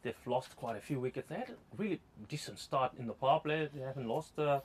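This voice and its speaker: A man from Zimbabwe with a Zimbabwean accent doing live cricket commentary, talking in a hesitant way with frequent pauses and fillers. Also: medium pitch, a little hiss or hum. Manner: hesitant, many uh fillers, laughs easily.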